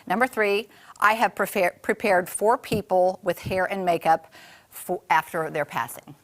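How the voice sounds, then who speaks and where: A woman's voice: lowish voice, fairly even intonation, measured pace. A woman co-hosting a morning show, chatting casually with an encouraging, enthusiastic manner. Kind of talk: casual chat.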